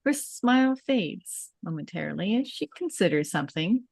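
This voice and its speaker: Low-pitched woman reading stage directions. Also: big pitch swings, normal speed, creaky, a little mellow, breathy edge, no echo. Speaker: narrator reading stage directions at a table read. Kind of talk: scripted narration, script read aloud.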